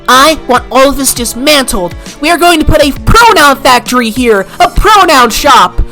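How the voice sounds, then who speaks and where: A woman's voice: sits high, lively intonation, normal speed, bright, forceful tone, faint music behind, heavily acted. A woman voicing a prissy, smug, dainty cartoon assistant principal with a fussy, sing-song, babyish way of talking.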